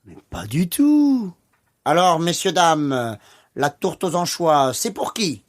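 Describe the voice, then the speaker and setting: Sociable French dad for a lesson radio play, male actor, fairly high voice, welcoming, small hesitations. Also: big pitch swings, takes it slowly. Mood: friendly, hospitable. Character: friendly, welcoming French husband and father at dinner. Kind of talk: scripted educational radio play.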